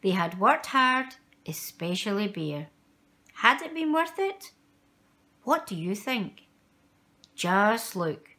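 A woman reading a children's picture book aloud in an expressive, measured storytelling style with dramatic emphasis for young listeners. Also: medium pitch.

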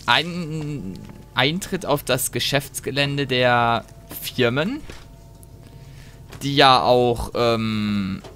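A man giving casual let's play commentary, thinking aloud in a rambling, halting way and breaking off mid-thought. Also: mid-range voice, a bit bright, faint music behind.

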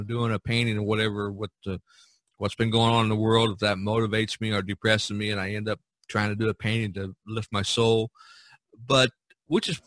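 A man's voice: on the low side, fairly bright tone, no room sound. A man hosting a casual podcast, giving advice in a rambling, folksy way full of "you know". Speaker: podcast host and painter. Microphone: video call recording.

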